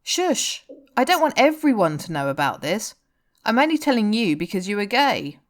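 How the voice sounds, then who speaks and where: A woman's voice: medium pitch, big pitch swings, moderate pace, voice pushed up, lightly acted. A woman voicing an earnest, lovelorn male rock guitarist in story dialogue. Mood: confiding, earnest. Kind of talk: audiobook-style character dialogue.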